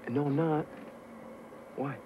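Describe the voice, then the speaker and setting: A man's voice: a little high, steady tone, slow. An actor playing a charming man passenger who teases and flirts in a playful, bantering manner. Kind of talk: 1980s TV movie romantic comedy. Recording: muffled TV audio with cabin noise.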